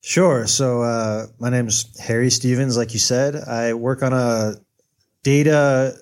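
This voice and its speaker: A man's voice: mid-range voice, fairly even intonation, slow, a little mellow. An American man speaking as an interview guest, thinking aloud with lots of fillers and hedges. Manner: thoughtful, hedging with many fillers. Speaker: guest, data journalist. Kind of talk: casual interview answers.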